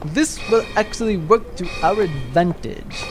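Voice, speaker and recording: A man's voice: high voice, expressive, measured pace. Man playing a sardonic space villain. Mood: scheming. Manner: dry, long-winded, sardonic. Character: scheming, dry-witted male space villain. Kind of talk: comedic audio drama. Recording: music playing underneath.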